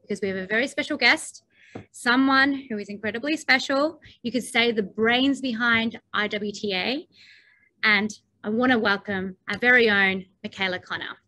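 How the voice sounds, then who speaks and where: Woman interview host, effusive, fairly high pitch, bright forward tone, dry sound, video call. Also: moderate pace, no echo, rough recording. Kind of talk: upbeat scripted introduction and interview.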